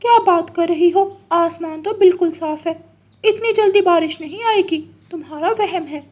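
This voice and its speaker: A woman's voice: very high voice, normal speed, a little mellow, voice pushed up. A woman voicing a friendly, carefree male parrot in a cartoon story. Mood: dismissive.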